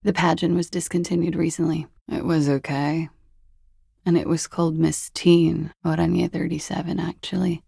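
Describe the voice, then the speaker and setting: Young party-girl femme, video game dialogue, husky, very gravelly fry, dry understatement, cool and guarded. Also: on the low side, little pitch movement, normal speed, warm tone, no echo, full character voice. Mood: dry, bored. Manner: languid, dry, sardonic understatement. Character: cool, evasive young former beauty queen and party girl. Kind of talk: video game dialogue, low-key and naturalistic.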